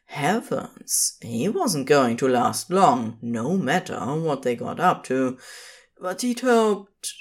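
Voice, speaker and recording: A woman narrates fiction in a low voice. She takes her time over each phrase, and her intonation rises and falls widely in a dramatic storytelling style. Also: sing-song, slow pace, breathy edge.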